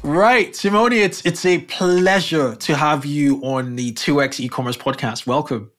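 A man's voice: fairly high pitch, a little slow, fairly bright tone, soft background music. A man hosting a casual podcast interview, talking in a rambling way with many fillers and restarts. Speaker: podcast host.

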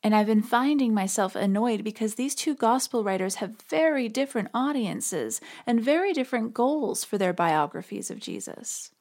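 A woman's voice: fairly high pitch, animated, moderate pace, hardly any pauses, a little mellow. A woman podcast host giving conversational religious commentary in an informal, explanatory way.

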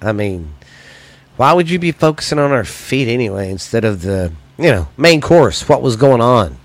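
A man's voice: mid-range voice, big pitch swings, moderate pace, no echo. A man with an American accent hosting a solo podcast in casual, crude comic chat, blunt and loose, his voice slightly weak and stuffy. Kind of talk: casual, profane comedy chat.

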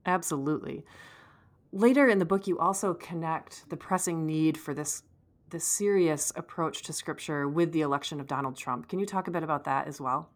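Woman, very low pitch, podcast host, conversational interview style, attentive, affirming. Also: moderate pace.

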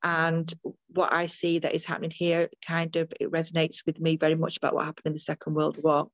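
A woman's voice: low-pitched, monotone, brisk, no echo, lo-fi sound. An older woman from the UK, a midwife, talking earnestly about her work as a guest in an interview, with frequent ums and restarts. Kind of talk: interview over a call.

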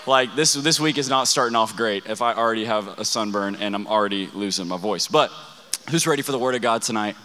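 A young man preaching an energetic youth camp sermon, hyping up the crowd and calling for responses, his voice a bit worn. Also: fairly low pitch, brisk. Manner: hyped, calls for crowd responses. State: losing his voice. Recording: long hall reverb.

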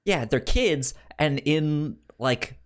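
Stammering podcast host with lively, swinging pitch. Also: takes it slowly. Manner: stammers, repeating syllables and words. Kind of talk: casual chat about a film.

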